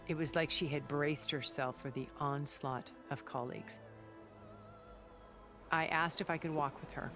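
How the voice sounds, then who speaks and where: Older secretive game lady, gravelly, measured and formal, calculating, music playing behind her. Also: low voice. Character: composed older woman, secretive, calculating, wistful. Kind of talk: dramatic video game dialogue. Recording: background music under the voice.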